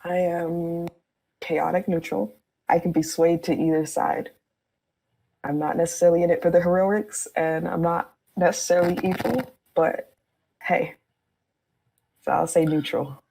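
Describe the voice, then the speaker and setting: A woman's voice: fairly low pitch, steady tone, a little slow, creaky, long pauses, warm tone, breathy edge, poor audio. A woman speaking as a podcast guest, explaining things in a casual, conversational style. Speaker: podcast guest, fan fiction writer.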